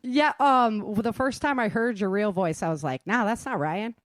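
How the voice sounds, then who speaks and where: A woman's voice: mid-range voice, normal speed, dry recording, clean studio sound. A woman hosting a casual interview, talking in an informal, encouraging way. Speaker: host interviewing her audio drama cast.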